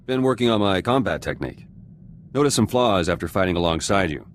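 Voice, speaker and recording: Embittered resistance fighter, deep voice, terse clipped phrasing, hard and vengeful, video game drama, background ambience noise. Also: male voice, expressive, brisk. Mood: terse, guarded. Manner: terse, clipped fragments with dropped words.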